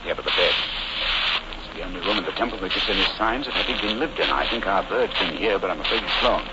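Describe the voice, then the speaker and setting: Brisk British gentleman detective, rapid clipped authority, vintage radio drama with heavy noise. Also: low-pitched. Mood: urgent. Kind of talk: 1940s radio drama acting. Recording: noisy, muffled old radio broadcast.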